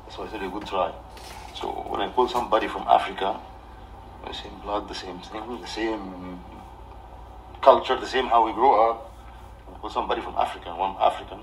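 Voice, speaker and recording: An Egyptian-accented man speaks in a low voice, off the cuff to a livestream. He is halting and defensive, repeating himself as he argues. The mic sits some way off in a room, with light noise behind him. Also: steady tone. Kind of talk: off-the-cuff livestream rant to camera. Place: small living room indoors. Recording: distant mic with background noise.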